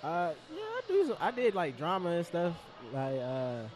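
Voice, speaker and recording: Slangy laid-back young man, high voice. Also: huge pitch swings, moderate pace, darkish tone. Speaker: guest comedian. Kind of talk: casual chat.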